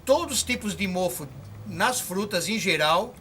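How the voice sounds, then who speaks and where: Folksy high-voiced older farmer. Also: male voice, expressive, measured pace, pushed and bright, a little hiss or hum. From Brazil. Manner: folksy, encouraging, addresses viewers directly. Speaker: orchard farmer teaching. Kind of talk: hands-on demonstration.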